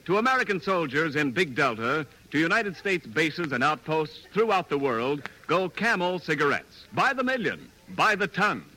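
A man's voice: on the high side, very animated, moderate pace, few pauses, sharp tone, rough recording. A man announcing a 1940s radio commercial in an emphatic, polished manner.

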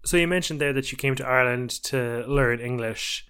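Smooth-voiced male interviewer. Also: medium pitch, brisk, bright, forceful tone. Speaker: interviewer, host. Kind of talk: conversational interviewing.

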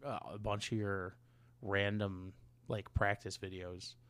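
A man's voice: fairly low pitch, flat delivery, a bit bright, smooth, no echo. A man co-hosting a podcast in casual chat, rambling and interrupting himself as he goes, with casual swearing. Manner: rambling, halting, self-interrupting. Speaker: podcast co-host.

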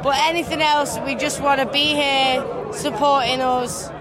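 A woman with a Warrington accent, a football fan adding quick, enthusiastic remarks in a street interview. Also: high-pitched, steady tone. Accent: English, Warrington. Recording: crowd behind.

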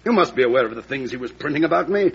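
An actor playing a proud, bitter disgraced politician in a radio drama, with a husky voice, formal intense delivery. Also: male voice, medium pitch, animated, rapid-fire, fairly bright tone, heavily acted. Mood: defensive. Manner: formal, intense, self-justifying. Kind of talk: old-time American radio drama acting. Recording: dull vintage radio recording.

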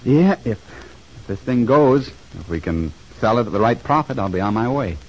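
A man's voice: medium pitch, lively intonation, normal speed, a little mellow, breathy edge. A man playing an eager, modest young dreamer in an old-time radio soap, bashful and hesitant, on a faintly noisy old recording. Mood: wistful hope.

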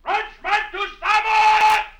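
Shrill, shouting pirate crewman. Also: flat delivery. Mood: rousing alarm. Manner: shouting, drawn-out proclamations. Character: excitable male pirate crewman, loud and adoring of his captain. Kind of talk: 1950s radio drama acting. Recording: noisy old radio, off mic.

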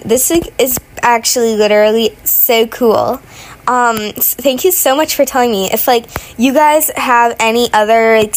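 Sick female gamer, slightly high voice, chatty and meandering, recording from bed. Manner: rambling, many fillers and restarts. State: sick, voice sounding off. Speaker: gaming vlogger. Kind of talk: casual gameplay commentary. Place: at home in bed.

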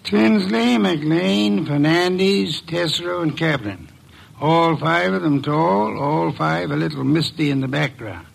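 An actor playing a middle-aged private investigator in a 1950s radio drama, speaking in a precise, measured, commanding way. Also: male voice, on the high side, creaky, exaggerated voice, poor audio. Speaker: detective.

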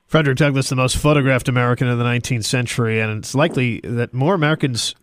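A man with a mid-pitched voice hosts a radio interview. He delivers scripted introductions and questions in deliberate, presenterly phrases. The sound is close and dry, with no room echo. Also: steady tone.

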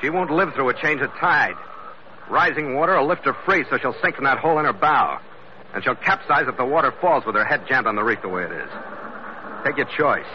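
An actor playing a blunt, tough ship's captain in a clipped, impatient, no-nonsense way, in hard-boiled 1940s radio style. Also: male voice, medium pitch, expressive, a little fast, lightly acted. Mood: blunt, urgent. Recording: noisy, muffled old radio drama recording.

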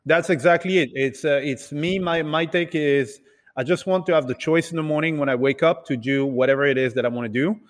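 A man who grew up in Italy and other countries, telling his story casually as an interview guest in a halting, hesitant way. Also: fairly high pitch. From Italy and several other countries. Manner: hesitant, halting delivery with repeated words. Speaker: podcast guest, entrepreneur. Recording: reverberant, hall-like room sound.

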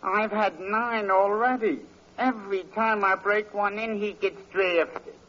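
A man's voice: high voice, steady tone, unhurried, bright, forceful tone. A man playing a fed-up, peevish shopkeeper in a 1940s radio comedy, complaining with dry sarcasm. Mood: exasperated. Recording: dull, noisy old radio recording.

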